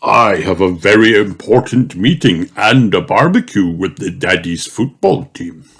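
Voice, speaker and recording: A man's voice: low-pitched, expressive, measured pace, background noise. A man voicing a jolly, easily flustered father pig from a children's storybook, exclaiming heartily. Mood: pleased. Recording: music behind the voice.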